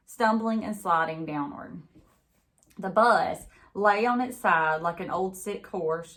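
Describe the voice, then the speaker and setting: A woman reading a children's novel aloud expressively, in the manner of a teacher with a class. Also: fairly low pitch. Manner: pauses to chat with young listeners.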